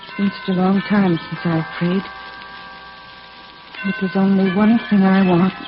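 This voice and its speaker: A woman's voice: medium pitch, steady tone, measured pace, natural voice. An actress playing a sorrowful, resigned mother in 1940s radio drama style. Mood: wistful. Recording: muffled old radio broadcast, music bed.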